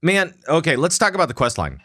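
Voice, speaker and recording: A man's voice: a little high, huge pitch swings, fast talker, a bit bright, no room sound, clean studio sound. A man hosting a casual gaming podcast, talking in a rambling, enthusiastic way with many restarts. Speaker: podcast host.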